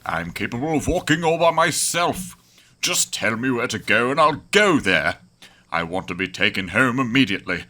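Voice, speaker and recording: A man's voice: mid-range voice, sing-song, normal speed, pushed and bright. A man playing a pompous, demanding, wealthy business mogul in a sci-fi audio drama, talking in a haughty, theatrical way. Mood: indignant, haughty.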